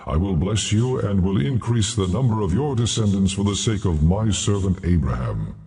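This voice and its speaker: An actor playing a calm, majestic God in a scripture audio drama, measured and reassuring. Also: male voice, lowish voice.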